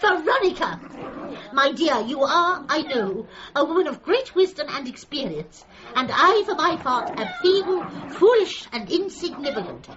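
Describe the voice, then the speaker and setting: A woman's voice: high-pitched, sing-song, moderate pace, lo-fi sound, a crowd in the background. A woman acting a genteel, effusive British dog lover, feeble but defiant, in a radio comedy drama. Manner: effusive, melodramatic, genteel turns of phrase.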